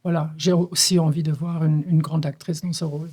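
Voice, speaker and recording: A woman's voice: low-pitched, level tone, fairly quick, mellow, husky. Hesitant, thoughtful German-native woman director. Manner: thoughtful, searching for words with many hesitations. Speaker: stage director. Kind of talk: reflective conversation.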